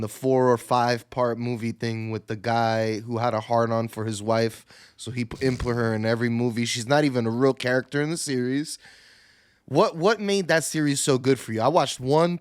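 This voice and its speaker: A man's voice: mid-range voice, clear, resonant tone, no echo, studio quality. A man co-hosting a podcast in a casual group discussion. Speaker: podcast co-host.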